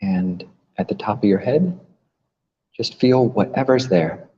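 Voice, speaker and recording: A man leading a guided meditation as a teacher, gently instructing with long pauses between phrases. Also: lowish voice, slightly rough. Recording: muffled, long reverberant hall echo.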